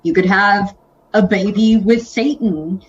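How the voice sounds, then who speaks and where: A woman guest on a podcast who lays out her thoughts in full, articulate sentences at a mid pitch. Her audio is muffled and lo-fi compared with the others. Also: takes it slowly. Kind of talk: casual chat.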